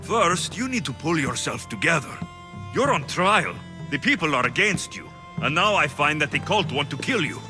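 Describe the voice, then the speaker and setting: A man's voice: fairly high pitch, sing-song, moderate pace, over music. An actor playing a stern, impatient man taking charge in a video game, scolding and commanding. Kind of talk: video game cutscene acting.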